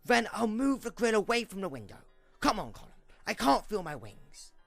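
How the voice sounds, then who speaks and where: Grumbling young pegasus, played by a man: sarcastic reluctant sidekick for amateur audio drama, gravelly, lively delivery over music. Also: high-pitched, normal speed, no room sound, full character voice. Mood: defiant, loud. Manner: whiny, sarcastic.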